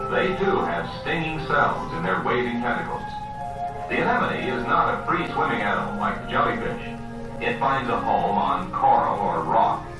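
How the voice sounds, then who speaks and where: Echoey man narrating a nature program, animated delivery. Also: mid-range voice, recorded from a distance. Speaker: television program narrator and host. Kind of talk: scripted educational nature narration. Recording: very echoey archival television soundtrack.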